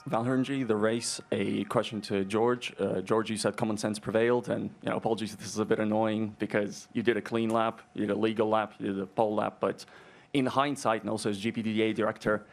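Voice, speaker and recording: A man, a journalist, asking a long careful question at a press conference, softening it with apologies. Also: lowish voice, level tone, brisk, vocal fry. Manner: careful, apologetic, repetitive phrasing.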